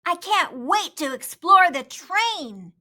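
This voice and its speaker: A woman voicing an eager, groovy young boy cat in a storybook, exclaiming with wide-eyed excitement. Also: very high voice, slow pace, voice pushed up, heavily acted.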